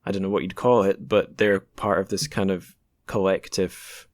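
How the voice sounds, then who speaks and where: A man's voice: sits low, flat delivery, moderate pace, no echo. A man hosting a podcast in a casual, informal way, tentatively checking what he has understood. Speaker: podcast host. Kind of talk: casual chat.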